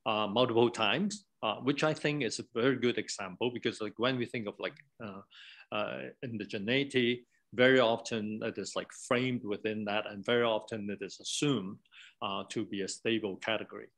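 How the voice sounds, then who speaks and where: A man, an academic researcher, speaking informally in a halting, discursive way with frequent "like" and "right?". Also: lowish voice, a bit bright.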